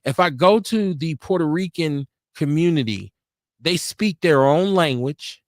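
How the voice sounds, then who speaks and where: A man's voice: fairly high pitch, expressive, measured pace, dry recording, clean studio sound. A middle-aged American man giving opinions in casual discussion, emphatic and repeating phrases to drive points home. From United States.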